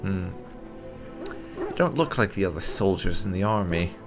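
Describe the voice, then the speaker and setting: A man's voice: deep voice, expressive, moderate pace. A man playing a roleplay video game, reading out his dialogue choices and adding wry, thoughtful remarks. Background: game music.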